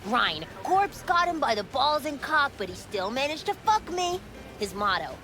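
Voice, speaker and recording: An actress playing a sarcastic, foul-mouthed market food vendor in a video game, blunt and slangy. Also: female voice, sits high, big pitch swings, normal speed, pushed and bright, heavily acted. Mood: scornful. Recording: music behind the voice.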